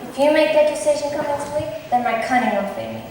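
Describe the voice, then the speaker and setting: A woman's voice: high voice, fast talker, darkish tone, natural voice. A woman acting male roles in an amateur school production of a modernized Shakespeare comedy. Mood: plotting. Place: large echoey indoor hall. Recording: very echoey hall from far off, hissy.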